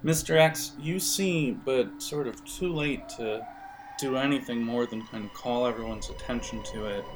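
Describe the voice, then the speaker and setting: A man running an improvised tabletop role-playing game, narrating in a halting, conversational way. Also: mid-range voice, background noise. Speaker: game master. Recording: music behind the voice. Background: music.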